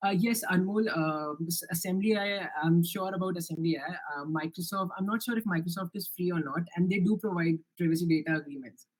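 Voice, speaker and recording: A young man with an Indian accent presents his software project in a live online demo, answering questions earnestly with frequent restarts and fillers. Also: high voice, a little fast, few pauses, poor audio. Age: young adult.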